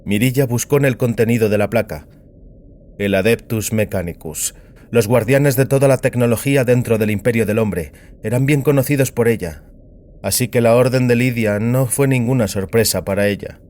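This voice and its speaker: A man narrating a scripted dramatic story in a solemn, deliberate manner. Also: mid-range voice, darkish tone. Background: music.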